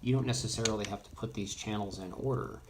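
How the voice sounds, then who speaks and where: A man's voice: fairly low pitch, steady tone, quick pace. A man presenting a casual, hands-on radio tutorial, explaining things in an informal, rambling way. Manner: informal, rambling explanations with frequent "you know". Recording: noisy, with keyboard and clicking sounds.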